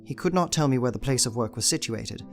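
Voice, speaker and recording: An actor playing an earnest, determined young Victorian gentleman, narrating a journal in a formal, measured way in an audio drama. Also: mid-range voice, expressive, over music, close to an everyday voice.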